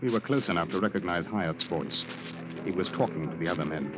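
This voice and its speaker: An actor playing an uneasy, weary ex-soldier in old radio drama, speaking and narrating in a grave, restrained way. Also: male voice, sits low, animated, fairly quick, noisy background. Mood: grave suspense. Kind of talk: 1940s-50s radio drama acting and narration. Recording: dull old radio recording with music.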